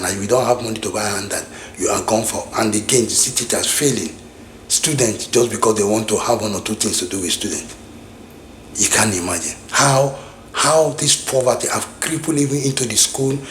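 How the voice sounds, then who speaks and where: A man's voice: mid-range voice, a little hiss or hum. Rambling Nigerian man in echoey room. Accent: Nigerian English. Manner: rambling, many restarts and repeated phrases. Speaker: vlogger. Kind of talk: casual reminiscing monologue.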